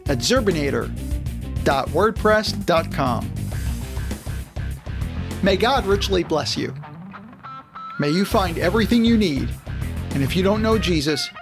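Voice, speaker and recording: Male announcer, high register with vocal fry, scripted podcast outro over music. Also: lively intonation, a little slow, long pauses.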